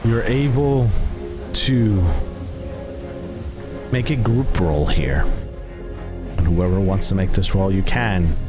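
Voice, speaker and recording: Man game master, slow deliberate narration, low voice, background music. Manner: measured, deliberate narration with pauses. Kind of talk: narrating a tabletop horror game.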